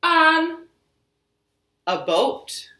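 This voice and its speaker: A woman hosting storytime for young children, speaking in an expressive, encouraging sing-song way in a living room. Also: very high-pitched, drawn-out words. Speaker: children's storytime librarian host. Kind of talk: children's storytime, talking and reading aloud to young kids. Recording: roomy home living room echo.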